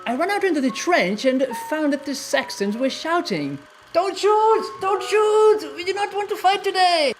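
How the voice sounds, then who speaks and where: Woman as a British army officer, high voice, swooping intonation, genteel storyteller, war memoir reading. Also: lively intonation, moderate pace, faint music behind. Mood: earnest recollection. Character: British army officer recounting a Christmas truce.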